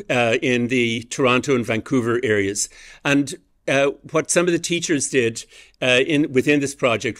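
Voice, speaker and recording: A man giving an academic lecture as an education professor, explaining ideas in a measured way with frequent hesitant fillers. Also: mid-range voice, a bit bright.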